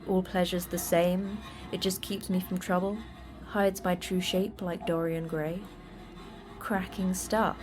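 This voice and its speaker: A woman playing a terse, teasing manager of a secret monster facility in a fiction podcast, with a brisk, dry, flippant delivery. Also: on the low side, level tone, a little mellow, soft background music. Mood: deadpan, indignant. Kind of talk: audio fiction podcast acting.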